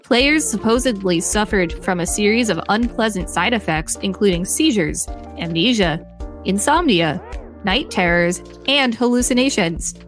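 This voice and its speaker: A woman's voice: medium pitch, moderate pace. Upbeat woman reading aloud over faint music. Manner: upbeat, reads lists excitedly. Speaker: podcast co-host reading articles.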